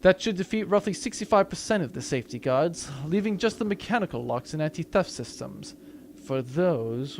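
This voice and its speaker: Man playing pompous, creaky-voiced space schemer. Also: a little high, darkish tone, a little hiss or hum. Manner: pompous, wordy, theatrical. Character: pompous, theatrical male spacefarer, proud of convoluted schemes. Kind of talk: sci-fi comedy audio drama acting.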